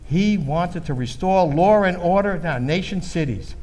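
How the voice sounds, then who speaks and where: An actor playing an earnest, patriotic baby-boomer storyteller in an emphatic, solemn stage monologue. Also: male voice, lively intonation, normal speed, darkish tone. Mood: earnest, reflective.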